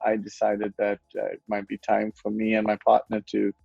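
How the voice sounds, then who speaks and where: A man's voice: fairly low pitch, completely flat delivery, normal speed, vocal fry, a little mellow, slightly rough, no echo, lo-fi sound, faint music behind. A man in his forties from Sri Lanka, a guest in a conversational interview who talks in a rambling way, corrects himself and chuckles often. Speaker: guest, wealth management founder.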